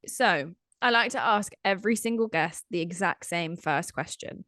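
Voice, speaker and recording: Croaky-voiced woman hosting a podcast. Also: lowish voice, a bit bright, no room sound, clean studio sound. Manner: earnest, drawn-out questions full of "like". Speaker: podcast host. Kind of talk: conversational podcast interview.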